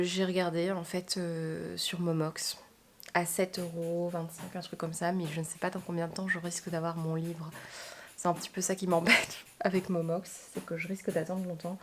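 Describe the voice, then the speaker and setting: A woman's voice: lowish voice, a little hiss or hum. A woman book vlogger from Belgium chatting casually to camera in a self-deprecating way.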